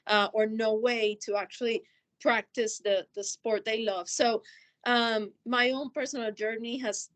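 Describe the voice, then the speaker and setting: A woman's voice: on the high side, steady tone, measured pace. A woman from Colombia, a native Spanish speaker with a Colombian accent, telling a personal story unscripted as a panelist. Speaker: panelist, finance executive.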